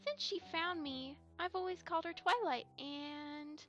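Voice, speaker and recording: A woman's voice: a little slow, sharp tone, faint music behind, heavily acted. A woman voicing a shy, earnest young filly in an audio drama, childlike and a little hesitant. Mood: sheepish, earnest. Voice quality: childlike, high. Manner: hesitant, earnest, stammering over confessions. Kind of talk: fan audio drama acting. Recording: slightly dull, lo-fi microphone.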